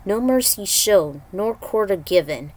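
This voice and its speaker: A woman's voice: medium pitch, expressive, a little slow, a little mellow, rough recording. A woman with a mid-range voice talks casually to her followers as the host and writer. She rambles in an opinionated way, airing her gripes bluntly. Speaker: fan fiction writer and channel host. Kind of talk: casual rambling commentary on own writing.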